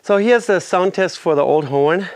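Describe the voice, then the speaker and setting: A middle-aged man walks through a repair in his home garage, his voice a little congested from a lingering cold. He speaks a touch higher than most men, in a relaxed, practical way, thinking aloud as he works. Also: normal speed, no room sound. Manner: relaxed, explains while working on a motorcycle. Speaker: garage video host. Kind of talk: casual workshop walkthrough.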